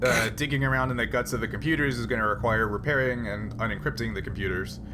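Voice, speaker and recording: A man explaining options as game master of a tabletop role-playing game, in a halting way. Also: medium pitch. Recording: noisy recording with room ambience.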